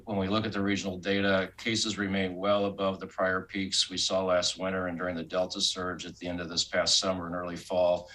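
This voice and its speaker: A man speaking as a hospital medical officer, giving a measured, formal and matter-of-fact status report. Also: low-pitched, pushed and bright, poor audio. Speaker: hospital chief clinical officer.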